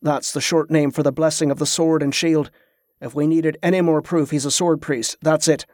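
A man's voice: a little high, level tone, normal speed, a little mellow, dry recording, clean studio sound, natural voice. A man voicing a proud, touchy guard in a fantasy audiobook, with a defensive, colloquial manner. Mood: explaining. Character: proud, touchy young male guard, loyal to his father.

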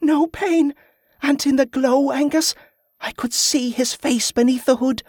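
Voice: high voice, level tone, normal speed, darkish tone, no room sound, clean studio sound, voice pushed up. A performer voicing a defiant, earnest young farm girl in an audiobook, with fervent rustic phrasing. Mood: fervent, awed.

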